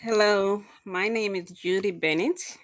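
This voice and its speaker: A middle-aged woman from Jamaica, introducing herself to a class in a careful, warm way. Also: medium pitch, fairly even intonation, unhurried, no room sound. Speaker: student.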